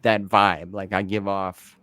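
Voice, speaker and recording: Male interviewer, lowish pitch, informal and slangy, chatting casually on a close, dry recording. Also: flat delivery, slow pace, bright, forceful tone, clean tone, dry recording. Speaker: interview host.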